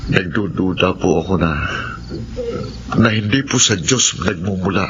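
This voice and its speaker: Casting: troubled, doubtful Filipino husband, earnest low voice, radio drama, faint background noise. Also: male voice, measured pace, muffled recording. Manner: earnest, serious. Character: doubtful, worried husband and father.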